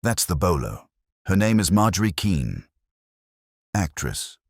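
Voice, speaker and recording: A man voicing a gruff, hostile police lieutenant in an audiobook, curt and accusing. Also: sits low, very animated, unhurried, no room sound, studio quality, pitched above the performer's own voice, full character voice. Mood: insistent.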